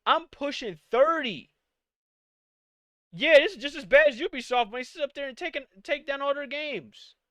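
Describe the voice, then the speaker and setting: Male host, late 20s, high crisp voice, dry close mic, profane exasperated streamer rant. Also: animated, moderate pace, sharp tone, dry recording. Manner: exasperated, profane, rhetorical questions. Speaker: podcast host. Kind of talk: live-streamed casual rant.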